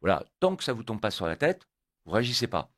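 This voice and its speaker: A man's voice: on the low side, very animated, fast, a bit bright, no room sound, studio quality. A man born in Italy, a guest on a radio interview, talking emphatically and repeating words when pressed. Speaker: guest, motorcyclists' federation coordinator.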